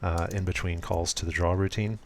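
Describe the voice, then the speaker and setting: A man with a low, flat voice walks through his code in a relaxed live stream, speaking softly and smoothly while correcting himself now and then. Faint keyboard typing and clicking sit behind him. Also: slight background noise. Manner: soft, deliberately smooth and low-key, with frequent ums and self-corrections. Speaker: programmer streaming a live coding session. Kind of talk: casual live-coding walkthrough.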